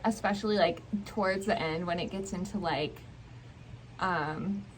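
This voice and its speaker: A woman's voice: fairly low pitch, measured pace. A young woman in a casual book chat, talking informally and hesitantly with many fillers. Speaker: book vlog co-host. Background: ambient noise, people in the distance.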